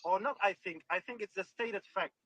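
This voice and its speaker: Insistent high-voiced man from northern Iraq. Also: fairly quick, pushed and bright, no echo. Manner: insistent, keeps repeating "Akhi". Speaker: caller in an online voice chat. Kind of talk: heated debate.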